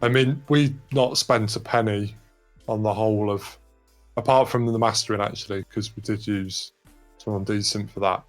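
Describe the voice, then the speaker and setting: A man's voice: fairly low pitch, fairly even intonation, moderate pace, faint music behind. A man talking casually as a guest in an informal panel discussion. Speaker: record label guest.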